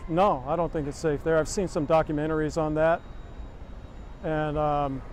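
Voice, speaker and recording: A man's voice: on the high side, steady tone, normal speed, slight background noise. A man from San Francisco answering a street interview outdoors, rambling and hesitant. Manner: rambling, hesitant, many fillers. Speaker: passer-by interviewee.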